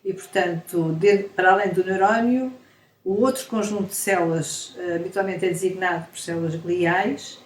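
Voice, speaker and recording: A woman's voice: fairly low pitch, steady tone, normal speed. A woman from Portugal with a European Portuguese accent, a scientist being interviewed, explaining with analogies and frequent tag questions. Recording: echoey room, faint noise.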